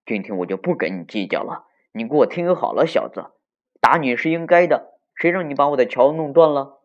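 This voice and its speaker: A man's voice: mid-range voice, lively intonation, a little fast, lo-fi sound, pitched above the performer's own voice, lightly acted. A man voicing a cold, indignant grown-up male beaver scolding a youngster in a children's storybook.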